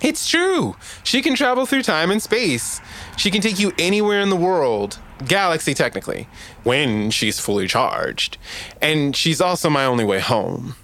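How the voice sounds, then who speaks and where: A man's voice: a little high, big pitch swings, normal speed, a bit bright. An actor playing a cocky yet clumsy young male space traveler in an audio drama, stammering and hesitant in conversation. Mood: flustered, defensive.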